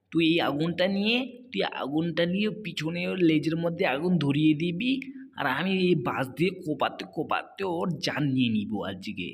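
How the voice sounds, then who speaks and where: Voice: medium pitch, fairly bright tone, husky, full character voice. An actor voicing a hot-tempered, bullying male tiger in a cartoon animal story, ranting in blustering, repeated threats. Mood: vengeful. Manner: blustering, repetitive threatening tirades. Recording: long hall-like reverb on the voice.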